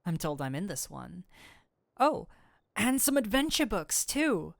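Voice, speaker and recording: A woman voicing a flirtatious incubus demon who is secretly an excitable nerd, in a scripted roleplay with theatrical, formal phrasing that slips into flustered gushing. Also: mid-range voice, unhurried, gravelly, no room sound, studio quality. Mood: gushing, delighted. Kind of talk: scripted roleplay audio addressed to the listener.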